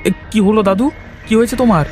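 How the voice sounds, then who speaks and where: A man's voice: high voice, quick pace, a little mellow, faint music behind, close to an everyday voice. A man playing a concerned young villager in a horror cartoon, with a worried, inquisitive manner. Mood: worried, puzzled.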